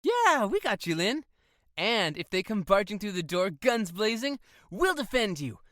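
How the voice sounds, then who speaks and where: A playful, excitable teenager in an audio drama. The delivery is slangy and swings widely in pitch, with a dry, close sound. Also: female voice, measured pace, dry recording. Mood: playful, excited. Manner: slangy, animated. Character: playful, excitable comic-loving teenage kid. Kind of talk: naturalistic audio drama acting.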